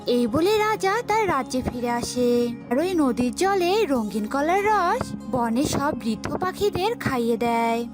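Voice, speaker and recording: A woman's voice: high voice, big pitch swings, measured pace, over music. A woman reads scripted narration for a children's cartoon story.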